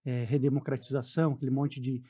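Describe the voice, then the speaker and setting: A man's voice: mid-range voice, little pitch movement, fast, warm tone, breathy edge. A man in his forties with a southern Brazilian accent, telling his story casually as a guest on a radio interview. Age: 40s. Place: radio studio.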